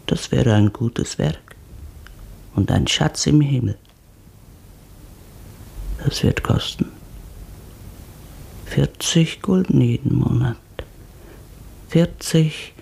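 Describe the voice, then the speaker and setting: An actress playing a pious, thrifty, unmarried older cook in a German radio play, brooding and curt. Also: female voice, rumbling low, creaky, dark tone, slightly rough, exaggerated voice.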